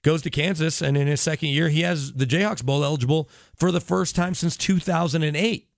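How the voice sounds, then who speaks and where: Fast-talking, long-winded man with gravelly fry. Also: on the high side, no room sound, clean studio sound. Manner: long-winded, detailed explanations with tangents and self-corrections. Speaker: sports radio broadcaster, podcast guest. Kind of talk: casual sports talk interview.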